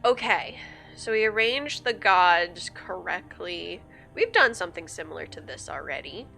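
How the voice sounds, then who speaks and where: A woman's voice: on the low side, expressive, unhurried, creaky, pushed and bright, soft background music. A young woman streaming a game while sick, sniffling, chatty and upbeat, thinking aloud and laughing often. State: sick with a stuffy nose, sniffling. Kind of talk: casual gaming livestream chat.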